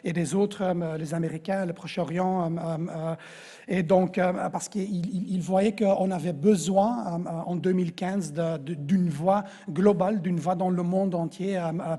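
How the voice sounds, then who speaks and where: A man's voice: high-pitched, dark tone. A man with a Flemish accent and native Dutch, giving a conference talk with constant hesitations and restarts. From Belgium. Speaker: conference speaker. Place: large conference venue. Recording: echoey conference hall.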